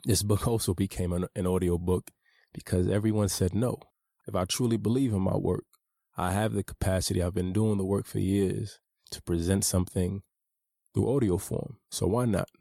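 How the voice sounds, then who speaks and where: A man reads his own memoir in a measured, earnest, personal way. His voice sits very low and carries a gravelly edge. The tone is dark and mellow, recorded dry and close with no room sound. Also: fairly even intonation, no echo. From United States. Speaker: author narrating his own audiobook. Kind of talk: reflective memoir narration.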